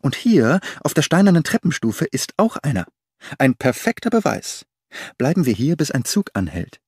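A man voicing a brisk, commanding male detective with a clipped, authoritative delivery. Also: fairly high pitch, very animated, darkish tone, breathy edge, dry recording, studio quality, pitched above the performer's own voice, full character voice. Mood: keen.

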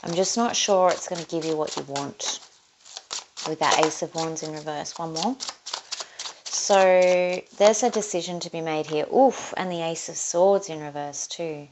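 A woman's voice: sits low, measured pace, noisy background. A woman with an Australian accent giving a casual tarot reading, thinking aloud as she draws cards. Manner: chatty, thinking aloud while drawing cards. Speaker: tarot reader. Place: indoors at a table, overhead camera on her hands.

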